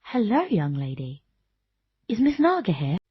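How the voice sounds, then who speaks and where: A woman's voice: mid-range voice, wildly swooping pitch, unhurried, husky, lo-fi sound, exaggerated voice. A woman voicing a polite, adoring and eager young apprentice woman in a comedic audio drama. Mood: sweet, polite. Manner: courteous, earnest, sweet. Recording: loud background noise with ambience.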